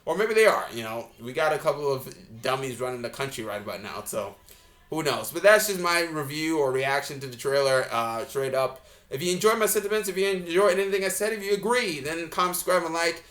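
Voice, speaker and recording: A man's voice: on the high side, lively intonation, brisk, a bit bright. A man giving a casual, off-the-cuff reaction commentary as a reviewer, talking in a rambling, blunt way. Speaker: video game trailer reviewer.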